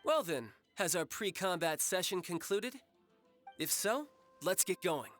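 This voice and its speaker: A cocky young warrior who loves a fight, in a video game. His delivery is animated and teasing, smooth-talking and breezy. Faint music sits behind him. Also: sits high, measured pace. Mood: composed. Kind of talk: English video game voice acting.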